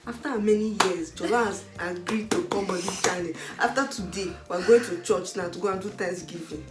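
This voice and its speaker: A woman's voice: lowish voice, fairly quick, reverberant, over loud music. A young woman with a Nigerian accent hosting her own channel, chatting frankly and with animation. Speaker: vlogger host. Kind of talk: casual chat.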